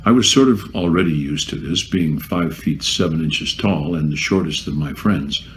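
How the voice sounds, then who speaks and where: A man reading a first-person memoir aloud in a measured, wry narration. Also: a bass voice, a little hiss or hum, poor audio. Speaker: guest reader.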